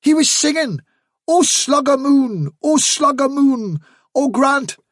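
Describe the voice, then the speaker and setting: A man voicing an eager, deluded rabbit witness from a storybook, insistent and quick to sulk. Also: high-pitched, lively intonation, slightly rough, dry recording, studio quality, voice pushed up. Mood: indignant shouting. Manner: eager, insistent, sulky when doubted. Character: eager, deluded male rabbit witness, servile and easily indignant. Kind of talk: storybook character reading.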